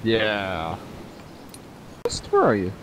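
A man gaming with a buddy whose voice jumps high and loud whenever something goes wrong. He teases and swears in easy banter. Faint game sound hums behind him. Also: huge pitch swings, drawn-out words, noisy background. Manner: loud, teasing outbursts and swearing. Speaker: fellow player. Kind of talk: casual gaming chat.

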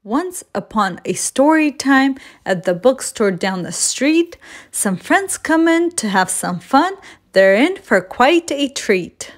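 A woman reading a children's storybook aloud as a storyteller, in an expressive scripted style. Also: high voice, slow.

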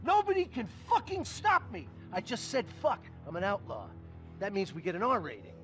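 A man's voice: high-pitched, huge pitch swings, normal speed, a bit bright, soft background music, exaggerated voice. A man playing a greedy, grandiose, megalomaniac middle-aged finance tycoon in a satirical video game film. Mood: gloating. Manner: pompous, grandiose, villainous.

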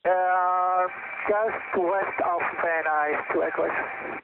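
High-pitched male pilot over noisy aircraft radio. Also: slow pace, gravelly. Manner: calm, businesslike. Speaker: helicopter pilot. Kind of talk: clipped aviation radio calls. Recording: very noisy narrow radio transmission, aircraft roar.

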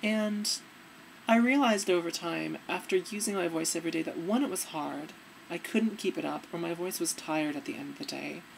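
A young woman with a fairly deep voice giving earnest, relaxed advice to her webcam in a dim room. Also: moderate pace, creaky, a little hiss or hum, low-quality recording. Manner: earnest, relaxed, demonstrating as she talks. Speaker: vlogger. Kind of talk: casual advice vlog.

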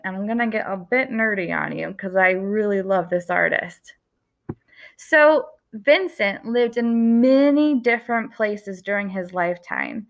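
Gentle, expressive woman teaching young kids. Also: medium pitch. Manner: gentle, expressive storytelling with slow emphatic words. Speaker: art teacher. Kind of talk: lesson for young children.

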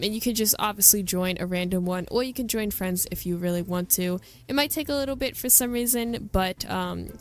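A woman hosting a gaming video, giving a chatty, enthusiastic, casual walkthrough commentary. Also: mid-range voice.

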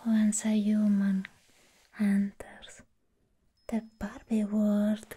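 A young woman performing a hushed, whispered ASMR roleplay as a sweetly possessive living doll, pausing deliberately, close to the microphone. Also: medium pitch, completely flat delivery. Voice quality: breathy whisper. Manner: slow, hushed, deliberate, with long pauses.

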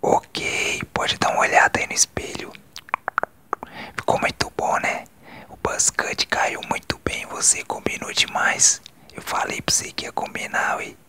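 A young Brazilian man in his twenties doing a calm, informal ASMR barber roleplay, hushed and reassuring, close to the mic. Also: unhurried. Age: 20s. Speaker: ASMR creator playing a barber. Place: small decorated bedroom indoors. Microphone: phone microphone held close.

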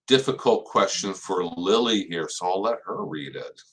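A man's voice: low-pitched, animated, moderate pace, a bit bright, poor audio. A middle-aged man with an American accent, hosting a casual question-and-answer session as an expert. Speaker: host, extension plant expert. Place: small indoor office.

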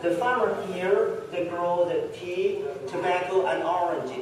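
A man from China explaining things as a local guide in a filmed clip, halting and laughing things off. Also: high voice. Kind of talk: conversational explanation. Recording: echoey hall, far off, crowd noise.

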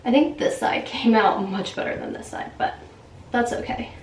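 A young woman vlogging casually at home, talking to the camera while doing her hair and starting dinner, with a relaxed, filler-heavy way of speaking. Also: on the high side, moderate pace. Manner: chatty, relaxed, many fillers. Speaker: lifestyle vlogger. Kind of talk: casual vlog talk to camera. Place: home bathroom and kitchen, indoors. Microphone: camera mic at arm's length or on a stand. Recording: echoey room, faint background noise.

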